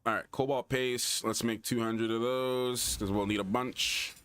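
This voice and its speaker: A man's voice: mid-range voice, fairly even intonation, a little slow, fairly bright tone, clear, resonant tone, dry recording. A young man with a Guyanese American accent, chatting casually and thinking aloud while he plays a game. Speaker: gaming streamer. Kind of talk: casual gameplay commentary.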